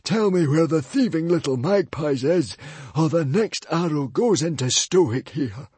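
Shrill hissing villain librarian, whiny, wild swings in pitch, storybook. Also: male voice, animated, unhurried, darkish tone, slightly rough, no echo, full character voice. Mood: menacing, screeching.

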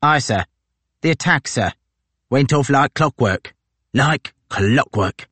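Guy doing a big dumb marine sergeant, loud and bragging, rough talk, dry close sound. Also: medium pitch, animated, unhurried, no echo, pitched above the performer's own voice. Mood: gloating. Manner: loud, boastful, rough working-class speech. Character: big brash Marine sergeant, gloating and coarse.

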